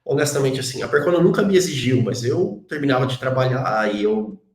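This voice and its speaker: A man's voice: medium pitch, animated, a little fast. Chatty Brazilian man in a roomy space. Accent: Brazilian Portuguese. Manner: chatty, constant "né" tag questions, self-deprecating. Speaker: guest, database engineer. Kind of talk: casual interview chat. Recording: roomy echo.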